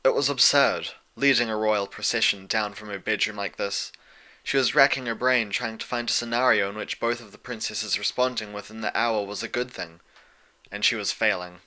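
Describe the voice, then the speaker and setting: Bright, forceful male story narrator. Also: fairly low pitch, moderate pace. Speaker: audiobook narrator.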